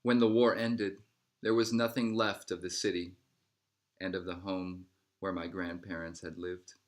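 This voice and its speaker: Male storybook narrator, lower-pitched, scripted read-aloud delivery, measured phrasing with falling line endings. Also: flat delivery. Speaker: storybook reader.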